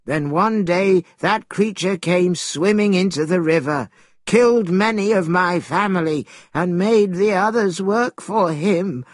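A man's voice: high voice, measured pace, no echo, studio quality, voice pushed up. A man voicing an enormous, solemn and grateful turtle in a storybook, with an earnest, pleading manner.

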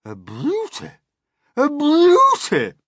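Brief: bossy, greedy aunt for children's story, scornful bluster, hugely varied pitch, husky edge played by a man. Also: wildly swooping pitch, slow pace, no echo, studio quality, exaggerated voice. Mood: astonished delight. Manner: blustering, exclamatory. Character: greedy, scoffing, bossy middle-aged aunt, a woman. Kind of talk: dramatic children's storybook character reading.

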